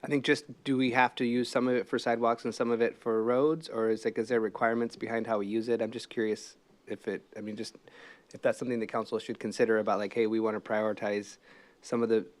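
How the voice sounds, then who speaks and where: American guy on a city council, talks really fast and kinda monotone, feels his way into questions. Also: mid-range voice. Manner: tentative, circling around his questions. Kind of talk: informal questioning in a council meeting.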